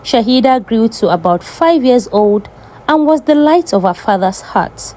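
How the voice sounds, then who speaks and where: A woman's voice: a little high, animated, unhurried, darkish tone. A woman storyteller reading a scripted folktale narration.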